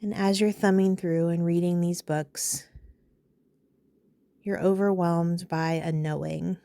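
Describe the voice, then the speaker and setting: Mellow, low-voiced woman guiding meditation. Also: no room sound. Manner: calm, soothing, unhurried instruction with pauses. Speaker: meditation guide. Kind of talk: guided meditation.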